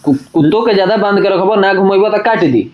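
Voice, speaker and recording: A man's voice: high voice, fast talker, a little mellow. A man with a Bhojpuri accent talking casually and emphatically as an interview guest, repeating phrases and mixing Bhojpuri into Hindi. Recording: dull lo-fi sound.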